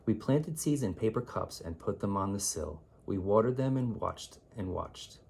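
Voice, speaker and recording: A man reading children's rhymes aloud as a teacher would, in an expressive, theatrical way with drawn-out, emphatic words. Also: lowish voice, gravelly, slight background noise. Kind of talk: expressive children's read-aloud of rhyming poems.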